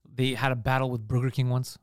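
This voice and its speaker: A man with a Montreal Greek accent, chatting casually on a podcast as a comedian guest, animated and swearing freely. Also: mid-range voice, fairly quick, dry recording, clean studio sound. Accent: Montreal Greek (Park Extension). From Montreal, Canada.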